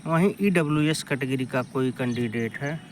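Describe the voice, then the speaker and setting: A man's voice: medium pitch, normal speed, a little hiss or hum. A man explaining exam analysis to students in informal, repetitive, step-by-step phrasing. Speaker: exam-coaching presenter.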